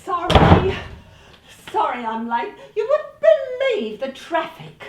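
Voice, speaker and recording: A woman's voice: very high-pitched, wildly swooping pitch, slow pace, heavy background noise, heavily acted. A woman playing a scatterbrained, fussy older radio actress in a comedy, talking in a flustered, rambling way. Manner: flustered, rambling, over-explaining. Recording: roomy echo.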